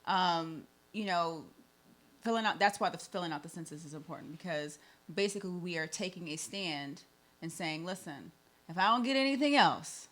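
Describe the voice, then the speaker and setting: A woman's voice: fairly low pitch, moderate pace, a bit bright. A woman hosting a conversational radio show, often peppering her points with "like" and "you know". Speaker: host.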